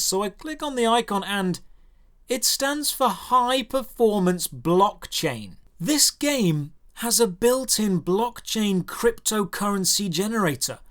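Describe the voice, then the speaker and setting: A man narrating a scripted video game review as its host, with a dry, sardonic and deliberate way of putting things. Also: sits high.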